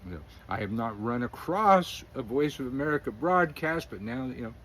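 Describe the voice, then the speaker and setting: A man's voice: mid-range voice, expressive, moderate pace, fairly bright tone, slight background noise. A middle-aged man giving rambling, opinionated political commentary, full of fillers and chuckles. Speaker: independent news commentator.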